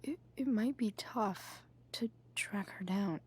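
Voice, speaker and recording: A woman playing a supportive, wry teenage girl in a video game, speaking in a hushed, tentative, breathy voice. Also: mid-range voice, takes it slowly. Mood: gently reassuring. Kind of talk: naturalistic video game voice acting.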